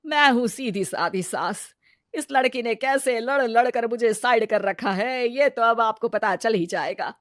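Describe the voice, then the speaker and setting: Sharp-tongued Indian mother-in-law played by a woman: high, dry studio sound, melodramatic taunts for a comic moral story. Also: measured pace, dry recording, close to an everyday voice. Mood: bitter complaining. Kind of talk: exaggerated comedy story acting.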